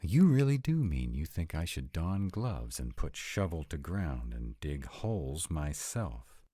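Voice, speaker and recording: A very deep, dark-toned male vampire, acted by a man for a roleplay audio drama. He is sarcastic and grudgingly devoted, with lively swings in his low voice and a dry, reluctant delivery. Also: measured pace, breathy edge, no echo, heavily acted. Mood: incredulous. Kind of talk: scripted roleplay audio drama, one side of a conversation.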